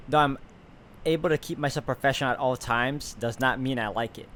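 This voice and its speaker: A man walking viewers through a visual novel. His voice sits at a middle pitch. He reads the narration steadily and drops into easygoing comments on the game. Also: a little hiss or hum. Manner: steady, even reading, relaxed asides. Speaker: gaming YouTuber. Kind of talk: reading game text aloud with commentary.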